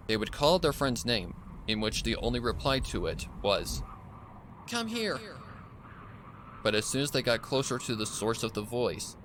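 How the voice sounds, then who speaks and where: A man reading a scripted horror story as narrator in a deliberate storytelling manner. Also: on the low side, fairly bright tone, slight background noise.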